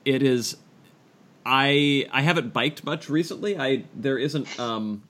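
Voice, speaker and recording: A man's voice: mid-range voice, slow pace, a bit bright. A man co-hosting a podcast, chatting casually with friends in a wordy, self-deprecating way. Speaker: podcast co-host. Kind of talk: casual chat among friends.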